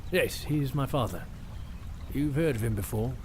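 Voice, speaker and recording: A man's voice: medium pitch, moderate pace, a little mellow, a little hiss or hum, lightly acted. Man playing a formal, earnest young knight. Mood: courteous. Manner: formal, polite, stately. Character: earnest, courteous, persistent young royal knight. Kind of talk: fantasy audio drama acting.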